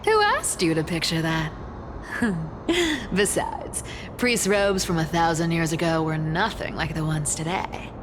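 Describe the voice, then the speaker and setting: A woman's voice: low-pitched, animated, a little slow, very noisy. A woman playing a sly, carefree young female thief in a video game, speaking in a wry, teasing and nonchalant way. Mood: teasing, annoyed. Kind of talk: video game character dialogue.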